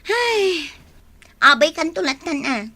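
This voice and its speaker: A woman's voice: very high-pitched, sing-song, takes it slowly, pushed and bright, exaggerated voice. A woman acting as a proud, blunt bride-to-be in an Ilocano radio drama, showy and emphatic, with an Ilocano Filipino accent. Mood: breezy, dismissive. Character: proud young bride-to-be, blunt and breezy with friend.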